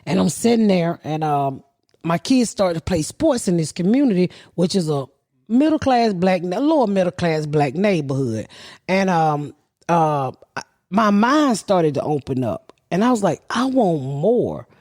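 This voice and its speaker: A woman's voice: lowish voice, expressive, a little slow, darkish tone, no echo, studio quality. A middle-aged woman, a podcast host telling a long story in a blunt, profane, rambling way. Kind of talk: casual storytelling.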